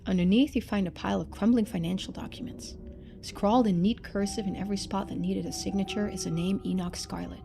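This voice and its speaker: A woman streamer reading a story game aloud in expressive narration, with casual asides. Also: mid-range voice, a little fast, creaky, music in the background.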